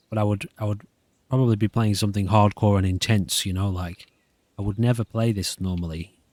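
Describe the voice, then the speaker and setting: A man's voice: lowish voice, darkish tone, no room sound. A man hosting a gaming channel, giving casual, rambling let's play commentary and repeating himself.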